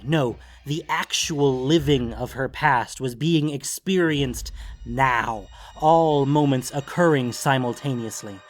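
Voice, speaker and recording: Male narrator for scripted fantasy fiction, theatrical and grave, medium register, music bed. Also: medium pitch. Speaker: narrator of a fantasy story podcast. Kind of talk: dramatic scripted story narration. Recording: music under the voice.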